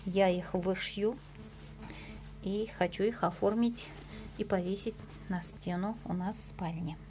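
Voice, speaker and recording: A woman's voice: mid-range voice, a little slow, a little mellow. Chatty woman vlogging her embroidery. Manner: chatty, hesitant, self-deprecating, with fillers and small laughs. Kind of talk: casual show-and-tell vlog.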